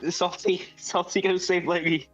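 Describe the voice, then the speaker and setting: A man's voice: high voice, flat delivery, moderate pace, breathy edge. A man talking casually and planning moves as a player in a tabletop role-playing game. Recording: dull lo-fi voice audio, music behind. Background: music.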